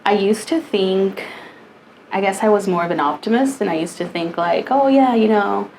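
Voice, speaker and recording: A woman's voice: medium pitch, moderate pace, a little mellow, slight background noise, low-quality recording. A woman in her early thirties co-hosting a podcast in casual chat, dry and wry, often asking questions. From United States. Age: 30s. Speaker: podcast co-host.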